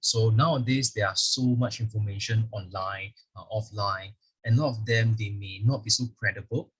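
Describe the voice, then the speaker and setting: A man's voice: lowish voice, fairly even intonation, moderate pace, fairly bright tone, breathy edge, muffled recording. A man with a Singaporean English accent presenting a school curriculum at a virtual event. He explains patiently, often leaning on "actually" and small fillers. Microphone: video call microphone.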